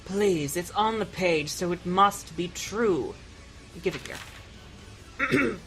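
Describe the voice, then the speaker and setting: A woman's voice: fairly low pitch, slow, over music, rough recording. A woman narrating parts of a fan audio drama in a dry, matter-of-fact way.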